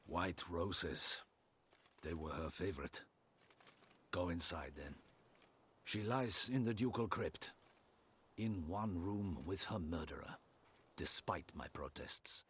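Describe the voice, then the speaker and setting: A loyal, honour-bound knight in a fantasy video game. His low voice is heavily husky and raspy, and he speaks slowly. The phrasing is formal and courtly, solemn throughout. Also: animated, bright, forceful tone, heavily acted. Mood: bitter.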